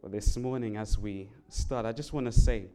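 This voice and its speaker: A man from England with a London accent, preaching a sermon in a church. He speaks earnestly and climbs sharply in pitch and volume on key words. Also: fairly even intonation, moderate pace, warm tone. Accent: London English. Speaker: Bible worker preaching. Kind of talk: sermon with prayer and scripture reading. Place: church sanctuary.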